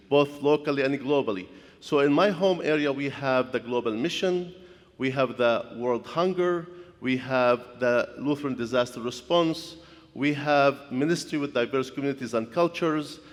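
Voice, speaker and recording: A man from Palestine, a pastor and church executive, giving an earnest public lecture with joking asides. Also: medium pitch. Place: lecture hall. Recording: echoey hall from far off.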